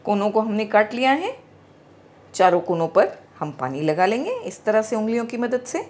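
A middle-aged woman talks through a home recipe, guiding the listener from one step to the next. Her voice rises and falls a lot, and she explains things patiently and clearly. She speaks into a small clip-on mic in a home kitchen. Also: mid-range voice, normal speed. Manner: calm, instructive, gently explaining. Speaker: cooking channel host.

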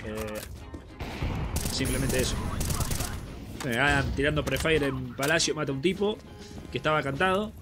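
A man's voice: medium pitch, normal speed, pauses often. A young man with a Rioplatense Spanish accent, congested from a cold, chatting casually with viewers while commenting on a game replay. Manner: chatty, addresses viewers as friends. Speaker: gaming streamer. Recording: game music and gunfire behind.

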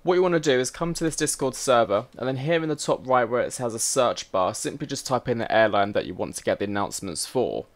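A man with a mid-pitched voice narrates a how-to video. He is chatty and instructional, guiding viewers step by step in casual language. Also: expressive, fast talker, hardly any pauses. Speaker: host of a flight simulator tutorial channel.

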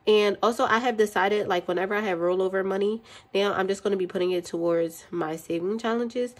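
A woman's voice: medium pitch, a little fast. A young woman with a cold and a stuffy voice, chatting casually and counting money aloud in her budgeting vlog. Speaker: budgeting channel host. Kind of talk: casual chat while counting cash. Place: indoors at a desk.

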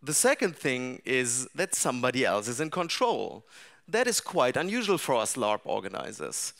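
A middle-aged man speaks to a seated audience in a dark seminar room, his voice at an ordinary middle pitch. He picks his way through each thought, restarting phrases and filling pauses with "uh" and "um" as he goes. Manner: thoughtful, frequent restarts and fillers. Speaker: larp designer giving a talk. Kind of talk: conference talk to a seated audience.

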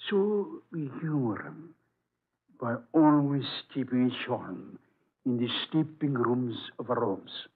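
A servile, evasive manservant in a vintage radio play. He talks slowly and haltingly, stammering with nervous deference. The audio is thin and band-limited like an old broadcast. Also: medium pitch, lively intonation, breathy edge, narrow, tinny audio, heavily acted. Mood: nervously reassuring. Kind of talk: 1940s radio drama acting.

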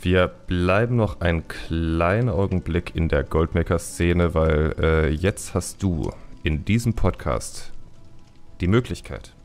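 A man's voice: low-pitched, slow, darkish tone, faint music behind. A man hosting a casual podcast, talking in a colloquial, exclamatory way. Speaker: podcast host.

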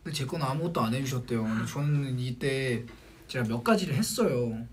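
A man's voice: medium pitch, lively intonation, fairly quick. Playful young man teasing on a livestream. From Jeolla, Korea. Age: young adult. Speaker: idol group member on a livestream. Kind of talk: casual chat with fans. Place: small indoor room with a plain wall.

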